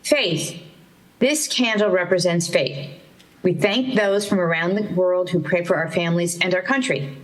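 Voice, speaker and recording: A woman's voice: lowish voice, rough recording. A woman reading a scripted commemorative passage in a formal ceremonial style. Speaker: ceremony reader.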